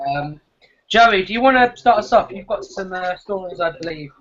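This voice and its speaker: A man hosting a live chat show, brisk and teasing as he calls guests up. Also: sits high, lively intonation, a bit bright, dull audio. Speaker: host. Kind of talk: casual chat.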